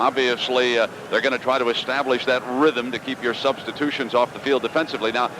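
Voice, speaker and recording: An old, clearly noisy radio broadcast carries a male sports host. His pitch sits in the middle range. He speaks as a pregame broadcaster and interviewer, with ambient noise behind him.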